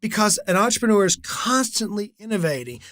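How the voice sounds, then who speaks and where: A man's voice: sits high, very animated, a little fast, fairly bright tone, dry recording, clean studio sound. A man in his forties hosting a business coaching talk, telling stories energetically and acting out the conversations he quotes. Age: 40s. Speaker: business coach and channel host. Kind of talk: animated solo talk to camera.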